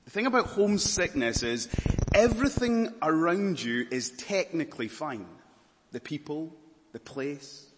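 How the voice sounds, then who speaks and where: A Scottish man preaching a sermon, earnest, moving between emphatic lines and hushed, slower ones. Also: a little high, big pitch swings, big-room sound, slight background noise. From Scotland.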